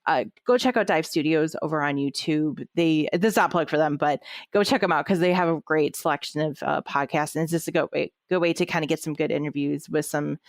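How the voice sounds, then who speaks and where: A woman's voice: deep voice, brisk, no room sound, studio quality. A woman co-hosting a podcast, chatting casually about music with lots of fillers and restarts. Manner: rambling, many fillers and restarts. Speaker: podcast co-host. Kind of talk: casual music review chat.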